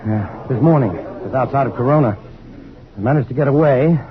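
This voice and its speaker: An actor playing an earnest, protective, determined scientist hero in an old-time radio drama. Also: male voice, medium pitch, brisk, narrow, tinny audio, lightly acted. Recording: noisy, phone-thin vintage radio recording.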